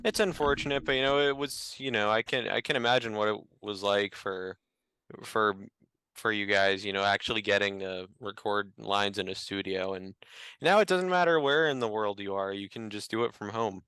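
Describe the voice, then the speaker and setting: A man's voice: lowish voice, normal speed, bright, forceful tone, smooth, no echo, poor audio. A man chatting casually as a podcast co-host, reacting to the guest. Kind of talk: casual chat.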